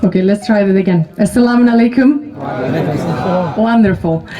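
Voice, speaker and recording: A woman's voice: medium pitch, a little slow, a crowd in the background. A young woman from Turkey speaking at a ceremony, thanking hosts and donors with many hesitant fillers. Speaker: charity founder. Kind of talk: ceremonial speech.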